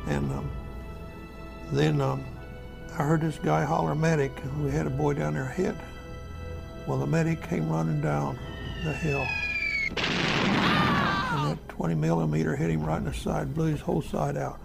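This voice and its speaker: An elderly American war veteran recounting his memories in a folksy, halting, repetitive way. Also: on the high side, big pitch swings, vocal fry, over loud music. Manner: folksy, halting, repeats himself. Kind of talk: spoken first-person war reminiscence.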